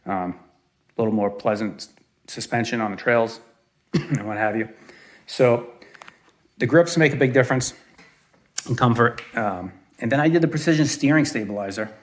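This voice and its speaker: Casting note: man, mid-forties, laid-back vlogger, rambling and unscripted, stops and restarts a lot, mid-pitched voice. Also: normal speed, pauses often. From southern Arizona. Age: 40s. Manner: rambling, many fillers and restarts. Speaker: vlogger reviewing his own quad. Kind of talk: casual walkaround review. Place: outdoors at his home property.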